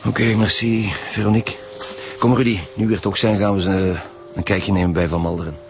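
A sober Flemish chief investigator in a radio crime serial. His voice is on the low side, formal and suspicious, with light music behind. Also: flat delivery, fairly quick, vocal fry. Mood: musing. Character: sober, suspicious male lead homicide detective. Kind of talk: radio crime drama acting.

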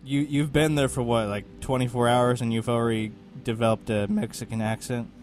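A man's voice: medium pitch, flat delivery, brisk, a little mellow. A man hosting a radio show, chatting casually with a caller and laughing often. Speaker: radio host.

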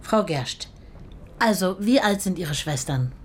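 An actress playing a brisk, businesslike clerk in a radio drama, asking questions in a terse, procedural way. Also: female voice, lowish voice, animated, a little hiss or hum. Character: brisk, businesslike female clerk at a missing-relatives bureau.

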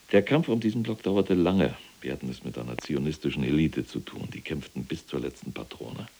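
Very deep, gravelly SS general. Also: male voice, a little mellow, exaggerated voice. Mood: boastful. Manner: clipped military reporting, self-satisfied. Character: imprisoned SS general, cold, boastful, unrepentant. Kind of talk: radio drama monologue, recounting events.

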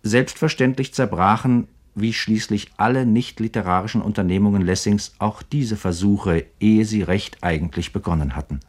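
A man's voice: on the low side, moderate pace, few pauses. A man narrating scripted literary commentary for a German radio feature, with emphatic, literary phrasing.

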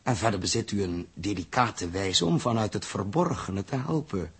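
An actor in a 1960s radio drama playing a calm, reasoning spaceship captain with a husky voice. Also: male voice, on the low side, normal speed, full character voice. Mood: thoughtful.

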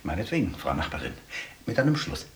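An actor playing a pompous, fussily courteous neighbour and music snob in a radio drama, stiffly formal. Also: male voice, sits low, big pitch swings, a little fast, reverberant. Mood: huffy, courtly.

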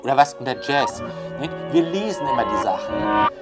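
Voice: medium pitch, animated, normal speed, over loud music. An interviewee talking in a loose, rambling way, full of fillers and tag questions. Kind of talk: discursive spoken commentary.

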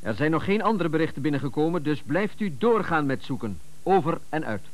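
An actor playing a brisk police radio dispatcher in a radio drama, formal, clipped and procedural. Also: male voice, fairly high pitch, big pitch swings, a little hiss or hum. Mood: official.

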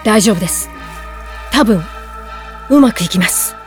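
A woman's voice: mid-range voice, animated, a little slow, over music, lightly acted. A woman playing a modest, courteous young male swordsman in a Japanese game drama CD, speaking sincerely. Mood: resolute.

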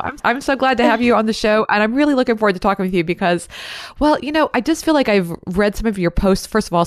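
A woman hosting a podcast, talking fast and chatty as she interviews her guest. She sounds friendly and enthusiastic, and her voice is dry and close to the mic. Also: mid-range voice, dry recording. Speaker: podcast host.

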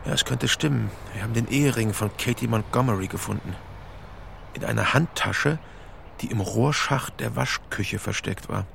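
A man playing an earnest, level-headed sheriff's detective in a German audio drama, speaking in a measured, matter-of-fact way. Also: medium pitch, vocal fry, a bit bright, a little hiss or hum.

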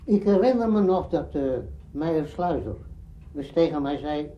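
An older man, a radio drama veteran, reminiscing in an interview in emphatic, halting phrases. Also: fairly high pitch, lively intonation, dark tone. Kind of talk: spoken reminiscence. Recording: dull archive recording, faint noise.

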